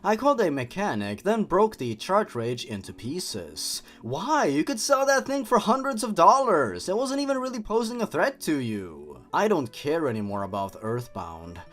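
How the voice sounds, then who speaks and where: A man's voice: fairly high pitch, wildly swooping pitch, normal speed, hardly any pauses, fairly bright tone. A young man hosting a review show in scripted commentary, with theatrical, sardonic emphasis. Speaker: host of a horror story review channel. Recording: music behind the voice. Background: background music.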